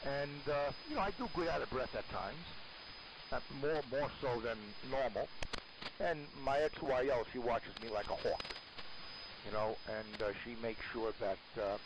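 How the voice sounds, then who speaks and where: A man's voice: mid-range voice, animated, normal speed, muffled recording. An older man telling stories casually over an amateur AM radio transmission. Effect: AM shortwave radio transmission. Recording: hissy, noisy AM radio reception. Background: radio hiss.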